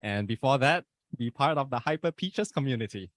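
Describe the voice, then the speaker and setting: A man's voice: medium pitch, lively intonation, a little fast, fairly bright tone, no room sound. A young man in his twenties from Malaysia with a Malaysian English accent, chatting as a podcast guest in an upbeat, rambling way. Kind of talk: casual podcast chat.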